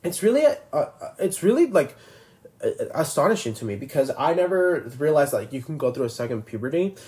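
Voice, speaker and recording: A man's voice: fairly high pitch, sing-song, fairly quick, darkish tone. A twenty-year-old man hosting a casual solo podcast, rambling and filler-heavy, constantly restarting his sentences. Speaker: podcast host.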